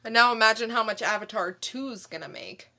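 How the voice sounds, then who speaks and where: A woman's voice: fairly high pitch, brisk, bright, forceful tone. A woman co-hosting a podcast in casual chat, reacting and adding her own comments. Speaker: podcast co-host.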